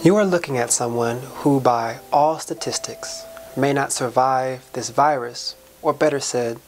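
A woman's voice: rumbling low, a little slow, over music. A woman delivering an inspirational spoken-word soliloquy in an impassioned, preacher-like way, with folksy, colloquial phrasing.

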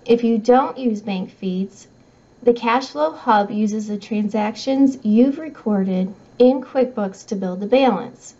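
A middle-aged woman reads scripted tutorial narration in a measured, instructional way. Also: on the high side, mellow. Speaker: software trainer. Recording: slightly dull, muffled audio.

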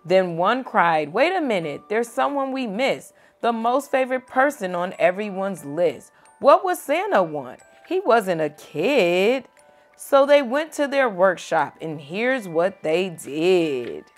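A young woman reading a picture book aloud to a child at home, gentle and expressive. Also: mid-range voice, slow pace, soft background music. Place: home bedroom, indoors.

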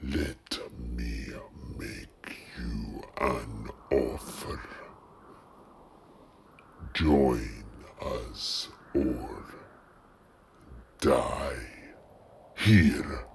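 A man voicing a menacing desert warband leader who speaks slowly and deliberately, with a threatening edge. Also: slight background noise, heavily acted. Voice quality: very deep and gravelly.

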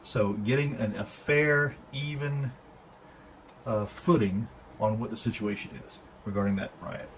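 A man giving an unscripted tarot reading at a table indoors, halting and full of fillers and restarts. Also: lowish voice, slight background noise, rough recording. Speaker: tarot reader.

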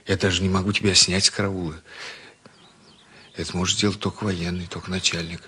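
A man playing a kind, concerned young man, narrating a children's story in an expressive, conversational way. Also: deep voice, brisk, a little hiss or hum. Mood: thoughtful, concerned. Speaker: narrator and lead actor.

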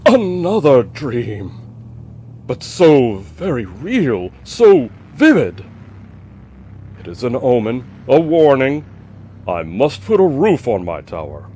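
A man's voice: medium pitch, huge pitch swings, slow, warm tone, slight background noise. A man voicing a paranoid middle-aged king who swings between frantic cries and anxious muttering. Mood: fearful.

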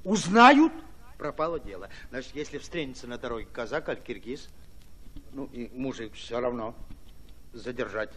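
An actor playing a fiery, shrewd Red Army commander with folksy, commanding peasant speech in a radio drama. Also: male voice, mid-range voice, lively intonation, moderate pace, pushed and bright, a little hiss or hum. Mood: brisk, folksy.

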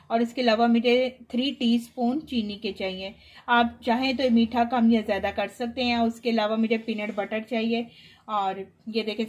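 A woman's voice: a little high, darkish tone. A woman hosting a home cooking tutorial, talking viewers through each step in a chatty, patient way from her kitchen counter. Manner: chatty, instructive, repeats steps patiently. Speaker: cooking channel host.

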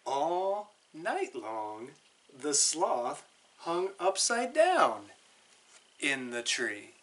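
A man in his thirties reading a children's picture book aloud in a deliberate, drawn-out, expressive way. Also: fairly high pitch. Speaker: storytime guest reader. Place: living room by a brick fireplace.

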